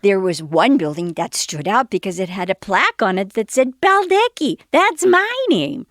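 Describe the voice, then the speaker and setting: Elderly lady, wistful, coy, theatrical, very lively intonation, sketch comedy. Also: medium pitch, normal speed, no echo, studio quality. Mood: wistful, relishing. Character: elderly woman reminiscing wistfully about racy youth.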